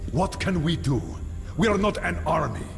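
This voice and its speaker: A man's voice: a little high, animated, moderate pace, creaky. An actor playing a skeptical male military colonel in a dramatic video game, curt, commanding and combative. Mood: argumentative. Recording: long reverb, music underneath. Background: music.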